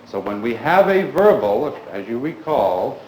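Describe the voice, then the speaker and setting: A man with a mid-pitched voice leads a school grammar class. He is polite and deliberate, directing questions and instructions to pupils. The room echoes around him and there is noticeable noise on the recording. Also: very animated, a little mellow.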